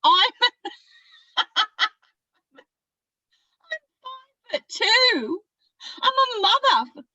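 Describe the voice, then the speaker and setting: A woman's voice: a little high, expressive, a bit bright, no room sound, muffled recording. A woman playing an agitated, pleading psychiatric patient with an Australian accent in a dramatic stage monologue, volatile and repeating herself. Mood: agitated, desperate. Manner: volatile, confrontational, halting and repeating.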